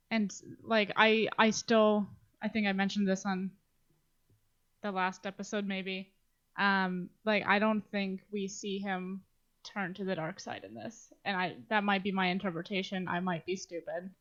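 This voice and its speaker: A woman's voice: mid-range voice, dull audio. A woman co-hosting a podcast in casual discussion, talking informally and swearing now and then. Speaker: podcast co-host.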